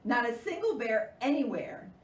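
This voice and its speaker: A woman hosting storytime for young children, reading aloud in an animated, expressive way. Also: on the high side, moderate pace. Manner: animated, expressive, with theatrical gasps. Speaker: children's librarian and storytime host. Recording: roomy, distant mic, faint noise.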